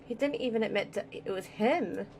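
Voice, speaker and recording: A woman's voice: a little high, moderate pace, darkish tone, soft background music. A woman giving casual let's play commentary as a gaming streamer, chatty, irreverent and sweary.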